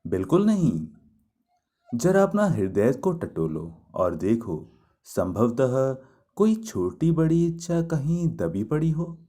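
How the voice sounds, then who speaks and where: A man acts out a lovelorn young woman of myth in a storybook reading. The speech is slow and mellow, poetic and pleading, full of wistful reproach. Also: medium pitch, big pitch swings, voice pushed up. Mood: probing.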